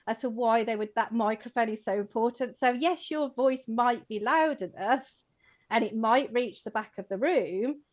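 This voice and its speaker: A chatty woman with a fairly high voice talks through an informal conversation. She is animated and full of fillers, and she laughs as she makes her points. The audio is a little dull and lo-fi. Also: normal speed. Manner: chatty, animated, many fillers like "like" and "you know", laughs while talking. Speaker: co-host, co-owner of a computing education company.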